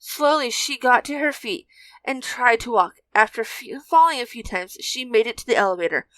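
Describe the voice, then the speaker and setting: A woman's voice: on the high side, lively intonation, moderate pace, fairly bright tone, dry recording, poor audio. A woman narrating a scripted adventure story as its storyteller.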